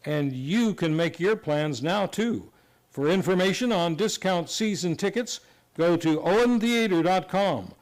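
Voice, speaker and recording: Male promo announcer: fairly high voice, unhurried, showy delivery of scripted theatre copy. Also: animated.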